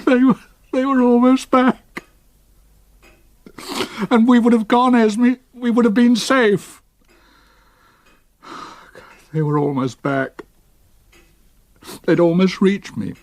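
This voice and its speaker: An older man, acting a grief-stricken solicitor who tells his past in a radio ghost story, gravely and deliberately. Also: very high voice, no room sound, full character voice. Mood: distraught. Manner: grave, deliberate, dramatic delivery. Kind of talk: radio drama, first-person ghost story narration.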